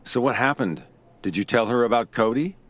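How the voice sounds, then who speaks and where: An actor playing a calm, level-headed investigator who also narrates an audio drama, with a measured delivery. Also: male voice, medium pitch, close to an everyday voice. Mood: concerned.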